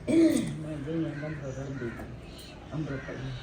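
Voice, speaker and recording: A woman's voice: sits low, big pitch swings, measured pace. A woman from Pakistan, talking in a rambling, repetitive way. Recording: distant, echoey, with loud noise and crows.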